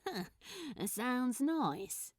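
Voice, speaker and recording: Woman voicing an awkward, weary man, unhurried. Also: fairly high pitch, animated, dry recording. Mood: hesitant, curious. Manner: hesitant, mumbling, dry. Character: tired, awkward, smitten man, grumpy but soft.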